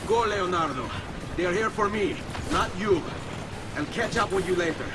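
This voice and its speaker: Music plays over a resolute young assassin with an Italian accent, heard from far off. His voice sits fairly high and is bold, pitched for a video game drama. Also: lively intonation, moderate pace. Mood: urgent. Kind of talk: video game cutscene acting.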